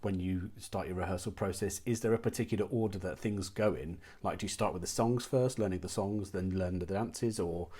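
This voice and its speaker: A man's voice: deep voice, a little fast, hardly any pauses, mellow, slightly rough. A man interviewing a guest in a conversational way, asking curious, detailed questions.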